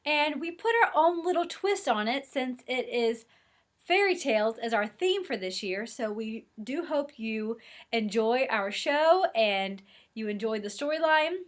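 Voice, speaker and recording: A woman's voice: on the high side, lively intonation, measured pace. Cheerful woman librarian announcing. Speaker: children's librarian. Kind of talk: friendly introduction to a children's show.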